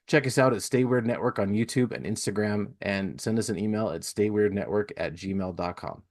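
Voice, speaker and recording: A man's voice: lowish voice, moderate pace, darkish tone. A man hosting a podcast, chatting casually and giving plugs in an informal, enthusiastic way. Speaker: podcast host.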